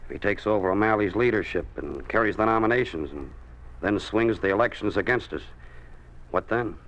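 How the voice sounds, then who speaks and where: A man's voice: lowish voice, animated, brisk, a bit bright. An actor playing a dutiful henchman in a 1950s radio drama, reporting formally and deferentially to his superior. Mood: uneasy. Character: dutiful, deferential male henchman of a party boss. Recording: muffled 1950s radio recording.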